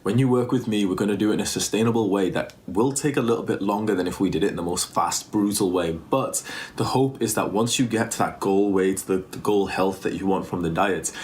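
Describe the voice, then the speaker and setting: A man with a strained, tired throat, giving an earnest, repetitive vlog monologue as a fitness YouTuber and diet coach. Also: deep voice. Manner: earnest, repetitive, pushy sales talk.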